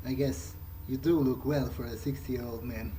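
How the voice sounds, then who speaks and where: A polite young vampire new to undeath, role-played by a male gamer, speaking at a middling pitch. He sounds hesitant and thinks aloud in an improvised game playthrough, with clear noise behind him. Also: steady tone, normal speed, mellow, lightly acted, low-quality recording. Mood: uncertain, inquisitive. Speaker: gamer role-playing his character.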